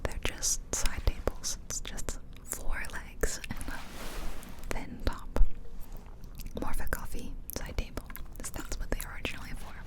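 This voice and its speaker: A woman speaks entirely in a soft, breath-only whisper, very close to the microphone as in ear-to-ear ASMR. Her delivery is casual and conversational, rambling through everyday personal storytelling. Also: unhurried, poor audio. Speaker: ASMR video creator. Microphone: close-up ear-to-ear stereo microphone.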